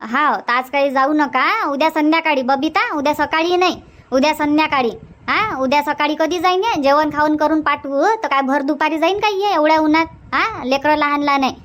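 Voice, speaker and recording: A woman's voice: high-pitched, fairly quick, fairly bright tone. A woman voicing a hospitable, bossy village grandmother in a cartoon comedy, chatty and insistent, with a Varhadi Marathi accent. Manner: chatty, insistent, repeats herself.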